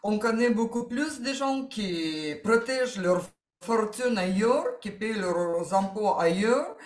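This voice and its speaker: A woman from Ukraine with a Ukrainian accent, a guest in an interview, talking slowly and searching for her words, often with a small laugh. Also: medium pitch, lively intonation, echoey room. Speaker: interview guest, coaching client. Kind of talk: testimonial interview.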